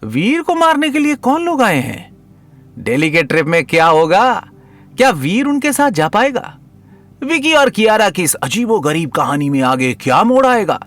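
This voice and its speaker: A man's voice: sits high, very animated, moderate pace, fairly bright tone. A man narrating a comic audio story in a playful, teasing, dramatic way.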